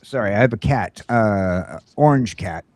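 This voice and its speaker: Male podcast host, lowish voice, informal and rambling, often restarting his sentences. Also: expressive, darkish tone, no echo. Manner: rambling, halting, frequent false starts. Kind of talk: casual chat about film franchises.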